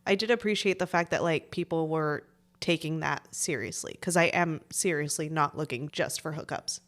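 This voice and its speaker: Lower-voiced woman in her forties. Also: normal speed. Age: 40s. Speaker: podcast co-host. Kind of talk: casual conversational banter.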